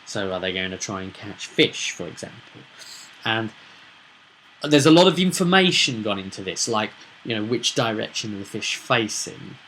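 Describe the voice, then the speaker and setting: Bright-voiced man explaining a roleplay session. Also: lowish voice, animated, moderate pace, low-quality recording. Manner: rambling, emphatic, asks rhetorical questions. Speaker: game master recapping a tabletop roleplay session. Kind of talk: casual explanatory vlog monologue.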